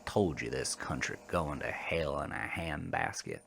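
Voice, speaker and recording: Man acting a slow-talking, shaken American trucker. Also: rumbling low, vocal fry, a bit bright, a little hiss or hum, full character voice. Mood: grim, subdued. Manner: folksy, coarse, rambling storytelling. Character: shaken, defensive trucker confessing a horrifying roadside encounter. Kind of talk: dramatic first-person horror audio monologue.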